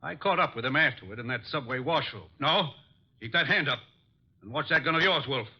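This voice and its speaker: A man's voice: medium pitch, lively intonation, fairly quick, husky. An actor playing a cold, desperate gunman and murderer in an old radio drama, menacing and clipped. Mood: cold threat. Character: cold, desperate gun-toting murderer holding detectives captive. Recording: dull, band-limited vintage radio recording.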